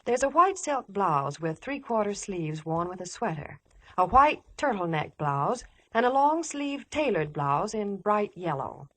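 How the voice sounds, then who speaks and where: A woman's voice: mid-range voice, sing-song, unhurried, no room sound. A woman delivering scripted 1950s radio advertising chat as a polished, enthusiastic sponsor spokeswoman.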